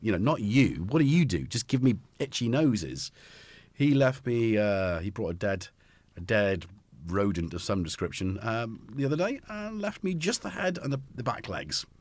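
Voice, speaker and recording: A man's voice: fairly low pitch, sing-song, moderate pace, a little mellow, dry recording. A man hosting a casual livestream from his home music studio, rambling and joking and often cutting himself off mid-sentence. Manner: rambling, self-interrupting, jokey. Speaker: livestream host.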